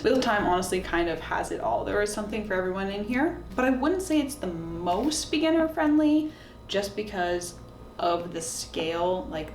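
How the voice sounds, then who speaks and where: A woman with a medium-pitched voice talks in a casual, conversational way, as if recommending books to a friend. Her speech is peppered with "like" and "you know". The sound is echoey and slightly distant, with a faint layer of noise. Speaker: book reviewer and recommender. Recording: roomy echo, distant mic, faint noise.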